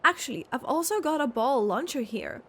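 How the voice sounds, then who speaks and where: A woman voicing an earnest young sports organiser in a video game, brisk, practical and friendly. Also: high voice, animated, fairly bright tone, slight background noise. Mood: upbeat. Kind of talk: video game character acting.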